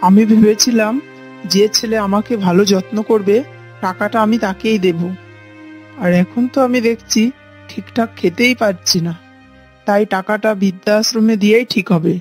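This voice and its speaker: A woman's voice: medium pitch, steady tone, moderate pace, a little mellow, natural voice. A woman voicing an elderly village mother-in-law in a cartoon, plain-spoken and self-effacing. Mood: resigned, hurt. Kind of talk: cartoon character dialogue. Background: faint music.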